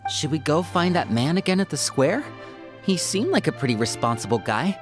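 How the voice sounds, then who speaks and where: Lowish, thoughtful adventurer. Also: female voice, animated, normal speed, over music. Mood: pensive, cautious. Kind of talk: video game voice acting.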